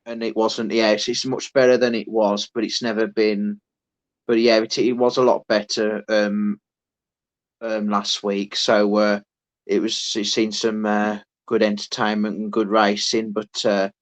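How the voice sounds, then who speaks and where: A man's voice: on the low side, flat delivery, measured pace. A man, co-hosting a podcast, who rambles in casual chat with many restarts. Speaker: podcast co-host.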